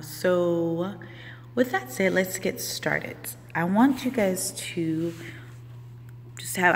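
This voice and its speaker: A woman in her thirties, coaching casually on a live stream with a slightly low voice. She talks in a relaxed, rambling way, full of ums. The sound carries a long reverb and a faint hum. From Houston, Texas. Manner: relaxed, chatty, many ums and restarts. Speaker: life coach.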